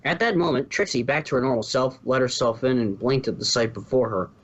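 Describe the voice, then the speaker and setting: A man's voice: mid-range voice, brisk, fairly bright tone. A man narrating fan fiction informally, stumbling over words now and then, on a muffled home recording.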